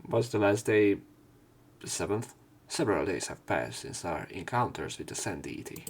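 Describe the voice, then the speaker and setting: A man's voice: low voice, expressive, moderate pace. A man narrating a visual novel as a let's player, reading the story and lines aloud.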